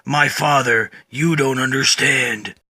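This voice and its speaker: A sorrowful plant monster, voiced by a man, talks in a slow, halting, low drawl. The tone is bright and close, with no room around it. He sounds heavy and withdrawn. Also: slightly rough, no room sound, full character voice. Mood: grim.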